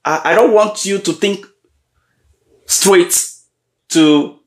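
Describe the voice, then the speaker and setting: A man giving a motivational leadership talk, often pausing to check in with his audience and repeating key questions. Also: sits high. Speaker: leadership coach. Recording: roomy echo.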